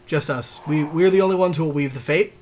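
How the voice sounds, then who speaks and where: A man's voice: a little high, a little fast, a little mellow, slight background noise. A man co-hosting a podcast, bantering casually as he comments on a card game draft. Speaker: podcast co-host.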